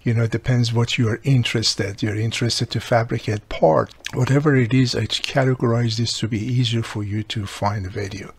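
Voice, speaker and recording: Gravelly, husky man explaining step by step. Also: mid-range voice, fairly even intonation, brisk, few pauses. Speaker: host of a radio repair channel. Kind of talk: instructional video narration.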